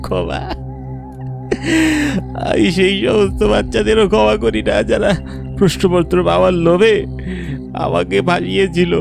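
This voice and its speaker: A man's voice: high voice, expressive, moderate pace, vocal fry, long pauses, music in the background, full character voice. A man voicing the vengeful ghost of a murdered village teacher, menacing and bitter in a cartoon. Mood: bitter accusing. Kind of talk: cartoon voice acting.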